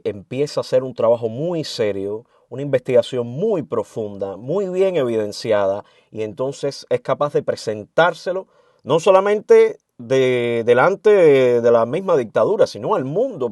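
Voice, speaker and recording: Male interviewer, polite and formal, steady mid voice. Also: mid-range voice, no room sound. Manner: formal, measured, emphatic questions.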